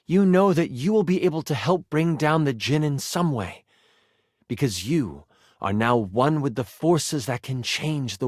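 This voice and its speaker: An earnest, nerdy game master in a video game. The voice is fairly high and lively, theatrical in delivery, with a dry, close sound. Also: male voice, no room sound. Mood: dramatic. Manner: theatrical, earnest, awkwardly gushing. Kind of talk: dramatic tabletop game narration and chat.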